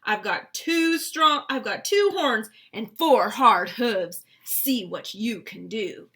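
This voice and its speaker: Bold big goat brother, played by a woman: defiant, very loud, weighty slow delivery for a children's tale. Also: on the high side, animated, exaggerated voice. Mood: bold defiance. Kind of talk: children's storybook character voice.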